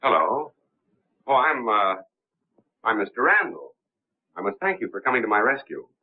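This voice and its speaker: A low-voiced, composed gentleman crime fighter, suave and polished in the 1930s screen manner. The sound is a thin, tinny old film soundtrack. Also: big pitch swings, moderate pace. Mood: courteous, smooth.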